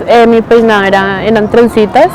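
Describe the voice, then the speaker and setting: A young woman recalling childhood experiences in a soft, reflective way. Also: a little high, little pitch movement, moderate pace, low-quality recording. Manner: soft, reflective, mimics schoolmates. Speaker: interviewee. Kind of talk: personal testimony.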